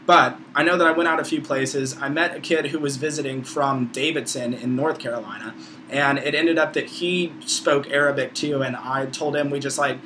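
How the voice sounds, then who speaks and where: Male, about 20, American accent, informal college vlogger, opinionated and profane, bright forceful voice, slightly noisy recording. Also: medium pitch, steady tone, moderate pace. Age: around 20. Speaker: video blogger, college student. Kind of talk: casual opinionated vlog monologue.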